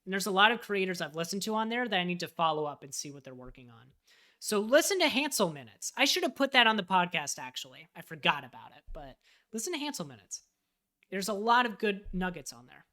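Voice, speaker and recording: A man with a bright, forward voice chatting casually on a livestream. He thinks aloud as he fills out a form and talks straight to his viewers. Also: high-pitched, very animated, fast. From United States. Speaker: live streamer and web developer.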